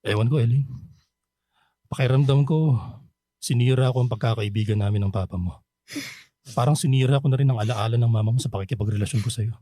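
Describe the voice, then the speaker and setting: A man's voice: mid-range voice, fairly quick, long pauses, warm tone, dry recording, studio quality, close to an everyday voice. A man with a Filipino accent playing a hesitant, sombre, guilt-ridden older man in a radio drama. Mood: guilty, pained. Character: guilt-ridden older man torn over a forbidden love. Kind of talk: radio drama acting and narration.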